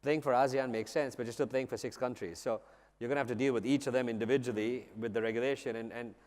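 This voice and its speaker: A man's voice: mid-range voice, fairly even intonation, fast talker, darkish tone. A man on a conference panel, explaining at length and checking in with "right?" as he goes. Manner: explanatory, hedging with "right?" and restarts. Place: large conference stage. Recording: long hall reverb.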